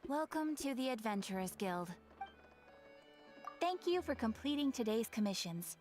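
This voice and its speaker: A woman's voice: fairly high pitch, big pitch swings, moderate pace, darkish tone, soft background music. A woman playing a polite, upbeat young guild receptionist in a video game. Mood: welcoming, formal. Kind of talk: scripted video game voice acting.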